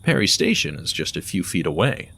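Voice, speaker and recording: A man narrating an audio drama in a measured storytelling manner. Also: low voice, sing-song, gravelly. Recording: loud music bed under voice.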